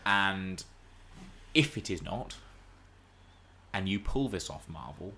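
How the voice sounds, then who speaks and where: A man's voice: low-pitched, expressive, slow pace, gravelly, pushed and bright, slight background noise. A man hosting a podcast, chatting casually about pop culture in an opinionated, theatrical way. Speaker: podcast host.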